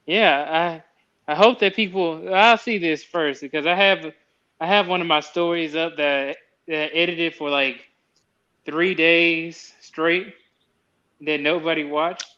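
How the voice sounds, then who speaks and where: Easygoing guy on a live call, bright sharp tone, kinda muffled audio. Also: on the high side, a little slow. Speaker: panelist. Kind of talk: casual chat.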